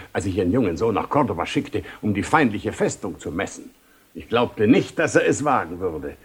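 An actor playing a proud older military commander in a 1970 German radio play, speaking with rough dignity and declamation. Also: male voice, mid-range voice, full character voice. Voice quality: very husky, rough.

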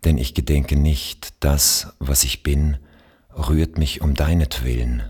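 A man from Austria reading poetry aloud in a measured literary recitation, with long pauses between phrases and a breathy, husky voice. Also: a bass voice, fairly even intonation, a little mellow.

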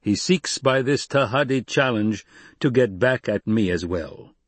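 A man voicing a calm male desert leader who speaks low and soothingly. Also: a little slow, no echo. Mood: measured. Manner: low, placating.

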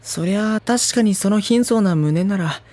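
An actress playing a mild, reluctant teenage schoolboy in an audio drama, hesitant but given to dry, exasperated retorts. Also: female voice, mid-range voice, unhurried, a little mellow, close to an everyday voice. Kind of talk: Japanese audio drama, anime-style acting.

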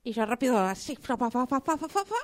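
A woman's voice: a little high, expressive, fast talker, heavy background noise, natural voice. A woman with an Argentine accent voicing a chatty, sentimental mother cockroach in improvised comic radio theatre, repeating herself coyly. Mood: chatty, fond. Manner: repetitive, coy, hesitant, conversational asides.

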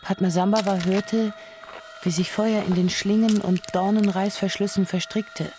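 A woman's voice: medium pitch, steady tone, moderate pace, mellow, a little hiss or hum. A woman narrating a literary radio drama in a scripted storytelling style. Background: music.

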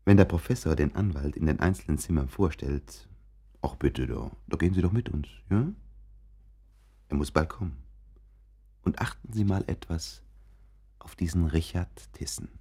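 A man's voice: rumbling low, moderate pace, long pauses, warm tone, slightly rough, full character voice. An actor playing a watchful, suspicious man in a German radio drama, confiding instructions.

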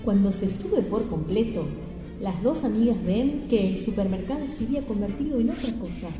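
A woman's voice: medium pitch, expressive, moderate pace, warm tone, natural voice. Woman as radio-play narrator, echoey. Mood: storytelling. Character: storyteller introducing a strange supermarket adventure. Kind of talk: scripted narration of amateur radio drama. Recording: echoey hall, music behind.

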